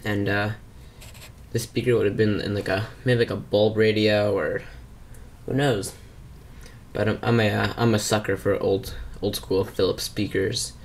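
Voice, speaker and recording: A man giving a casual, unscripted hobbyist show-and-tell, with halting delivery and frequent pauses. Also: on the low side, level tone, creaky. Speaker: hobbyist vlogger showing vintage speakers. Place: small indoor room at a workbench. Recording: noisy camera mic, audible background noise.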